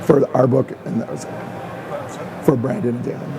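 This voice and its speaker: A man's voice: mid-range voice, level tone, normal speed, noisy background. A man with a strained, fading voice, welcoming the audience and introducing a panel on a conference stage. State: losing his voice. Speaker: host and author.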